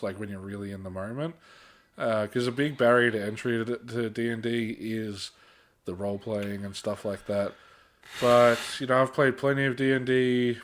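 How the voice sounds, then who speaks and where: A man co-hosting a podcast in a casual, slangy chat style with some mild swearing. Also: lowish voice. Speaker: podcast co-host.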